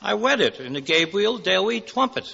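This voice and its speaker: Kindly old listener: very gravelly, lively pitch, vintage radio style. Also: male voice, normal speed, heavily acted. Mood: curious, gentle. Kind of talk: 1940s radio acting. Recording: dull old radio recording, long reverb.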